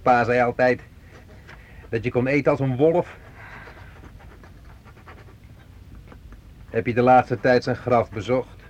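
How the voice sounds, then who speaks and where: A man's voice: mid-range voice, fairly even intonation, moderate pace, lo-fi sound, close to an everyday voice. An actor playing a grown son who talks on familiarly to his mother, teasing and probing, in a Dutch radio play. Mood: reproachful. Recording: noisy old radio play recording.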